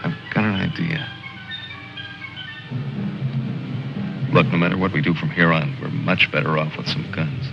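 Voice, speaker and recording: A man's voice: rumbling low, fairly even intonation, fairly quick, vocal fry, music in the background. An actor playing a wry, articulate male officer leading stranded soldiers, in the manner of a 1950s war film. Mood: calm, purposeful. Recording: noisy, muffled old film soundtrack.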